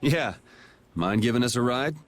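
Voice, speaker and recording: A man's voice: medium pitch, animated, normal speed, natural voice. A man playing a casual, cocky young swordsman with a laid-back, informal manner in an anime game dub.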